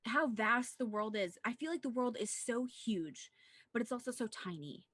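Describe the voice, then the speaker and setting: A woman's voice: a little high, animated, moderate pace, fairly bright tone, slightly rough, dry recording, studio quality. A woman co-hosting a casual movie discussion, talking in an earnest, rambling way with plenty of "like". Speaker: podcast co-host.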